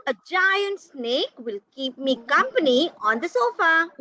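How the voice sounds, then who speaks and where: A woman reading the part of an eager young boy who longs for a pet, in a slow, careful, halting read-aloud over muffled call audio. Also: high voice, sing-song, sharp tone, no echo, exaggerated voice. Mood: wistful. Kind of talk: reader's theatre read-aloud.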